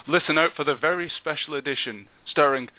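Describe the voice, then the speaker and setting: Male podcast co-host, slightly high voice, jokey banter, dry phone-quality sound. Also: normal speed, no echo. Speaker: co-host interviewing a guest on a gaming show. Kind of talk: casual podcast chat. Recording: thin phone-like internet radio sound.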